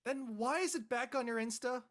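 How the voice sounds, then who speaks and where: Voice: medium pitch, moderate pace, natural voice. An actor voicing an embarrassed, exasperated teenage boy in a comedy drama, whiny and indignant. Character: embarrassed, exasperated teenage boy who became a viral meme. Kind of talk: comedic scripted audio drama dialogue.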